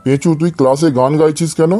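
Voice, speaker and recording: A man's voice: on the high side, steady tone, fast talker, dark tone, soft background music, close to an everyday voice. A man playing an exasperated, scolding schoolteacher in a comedy cartoon. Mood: stern questioning. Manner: scolding, threatening, theatrical. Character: exasperated male village schoolteacher scolding cheeky pupils. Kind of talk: comedy cartoon dialogue in Bengali.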